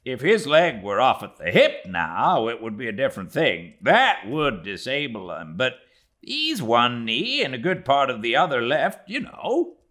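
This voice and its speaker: A man's voice: medium pitch, wildly swooping pitch, unhurried, pushed and bright, voice pushed up, heavily acted. A man voicing a stubborn, matter-of-fact junior ship's mate who argues insistently in a sea novel. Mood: argumentative. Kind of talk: classic sea novel read aloud.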